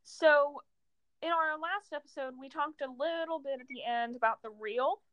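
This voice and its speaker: A woman's voice: sits high, moderate pace, a bit bright, no room sound, dull audio. A teenage girl co-hosting a podcast, rambling and joking in casual chat with lots of fillers. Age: teens. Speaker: podcast co-host.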